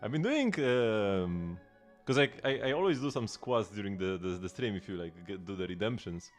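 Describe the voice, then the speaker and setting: A man's voice: on the low side, lively intonation, normal speed, faint music behind. A man in his late twenties chatting while he streams a game, rambling with lots of restarts and laughs. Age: late 20s. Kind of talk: casual gaming livestream chat.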